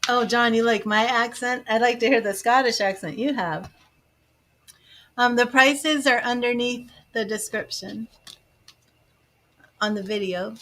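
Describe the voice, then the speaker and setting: A woman's voice: a little high, measured pace, long pauses, a bit bright, a little hiss or hum. A woman giving live tarot readings, chatting to viewers by name and musing over the cards. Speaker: tarot reader.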